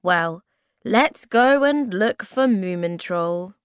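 A woman's voice: mid-range voice, animated, slow pace, smooth, no room sound, clean studio sound. A woman voicing a young male moomin creature in a storybook, playful and sometimes plaintive. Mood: playful, teasing. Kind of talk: storybook character voice.

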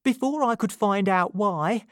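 A woman's voice: mid-range voice, measured pace, dry recording, clean studio sound, pitched above the performer's own voice, natural voice. A woman voicing a frightened young woman who speaks in an urgent, pressing way in a storybook reading.

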